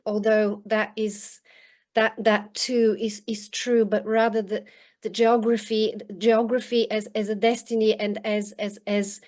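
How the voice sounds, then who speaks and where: Guest author, woman, forties, from Bulgaria; slow and hesitant delivery over a video link. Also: mid-range voice, darkish tone. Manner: halting, many restarts and ums. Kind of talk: reflective interview answers. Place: home in rural northern countryside. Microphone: video call.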